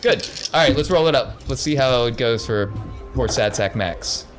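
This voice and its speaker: A man's voice: mid-range voice, animated, normal speed, soft background music. A man running a tabletop role-playing game as game master, encouraging and affirming his players. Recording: noticeable background noise.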